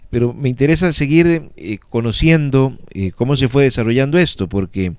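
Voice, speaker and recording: A man hosting a radio interview, asking formal, measured questions with frequent hesitant fillers. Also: mid-range voice, clean tone, no echo.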